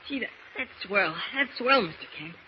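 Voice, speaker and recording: A man's voice: high-pitched, expressive, moderate pace. A man playing an earnest, loyal teenage boy in 1940s radio drama style, with boyish gee-whiz delivery. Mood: relieved. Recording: muffled, noisy old radio recording.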